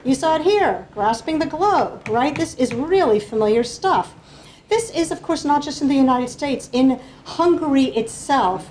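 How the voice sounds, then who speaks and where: A woman delivers an academic lecture as a panelist, walking her listeners through slides. Her voice sits a little high, and she explains patiently, pausing often to check that the audience is following. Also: a little mellow, a little hiss or hum, rough recording.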